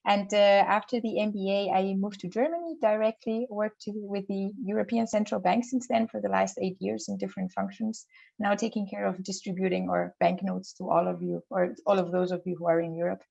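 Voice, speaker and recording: A woman's voice: mid-range voice, steady tone, brisk, creaky, darkish tone, muffled recording. A woman from Spain, an alumni panelist, explaining her path earnestly over a video call.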